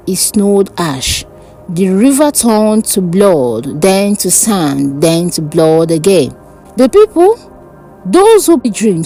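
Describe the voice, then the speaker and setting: A woman narrating a dark fantasy folk tale in a dramatic, deliberate way. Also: mid-range voice, rough recording. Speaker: storyteller. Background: background music.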